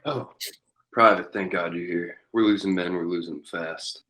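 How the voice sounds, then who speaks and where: A man's voice: sits low, steady tone, normal speed, a bit bright. A man playing a haunted war-veteran leader of an outlaw gang in an amateur western table read. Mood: feverish.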